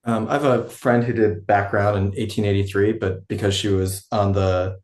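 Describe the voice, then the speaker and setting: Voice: deep voice, fairly even intonation, moderate pace, a little mellow. An acting class participant chatting casually, often hesitating and searching for words, in a roomy, echoing recording. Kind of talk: casual chat.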